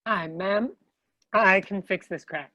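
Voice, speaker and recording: A woman's voice: medium pitch, slow, sharp tone, no echo. A woman playing a confident, matter-of-fact twenty-five-year-old repair-shop worker at a comedy table read. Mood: confident, dry.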